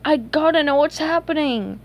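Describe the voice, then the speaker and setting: A woman voicing an excitable, naive cartoon sea sponge boy in an eager, exclamatory storybook reading. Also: high-pitched, normal speed, voice pushed up. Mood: anxious.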